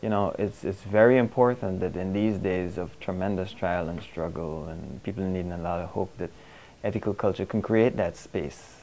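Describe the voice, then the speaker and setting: A man from Guyana who answers interview questions thoughtfully, pausing often with "um" as he searches for words. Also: sits low, warm tone, a little hiss or hum, poor audio. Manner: thoughtful, halting, with many pauses and "um"s.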